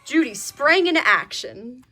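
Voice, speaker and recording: A woman reads a children's story aloud in a high voice that swings widely in pitch. Her delivery is expressive storytelling, and music plays behind her. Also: unhurried. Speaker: storybook reader. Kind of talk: children's read-aloud narration.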